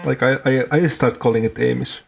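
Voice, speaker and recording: A man's voice: medium pitch, steady tone, a little fast, gravelly, faint music behind. A man with a Finnish accent who grew up in Hong Kong, a guest on a podcast chatting casually and joking, often restarting his words. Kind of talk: casual chat.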